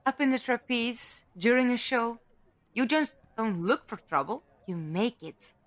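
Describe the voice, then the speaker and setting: A woman's voice: a little high, lively intonation, a little slow. A woman as a teasing, lilting young trapeze girl. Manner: playful, mocking. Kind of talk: audio drama acting.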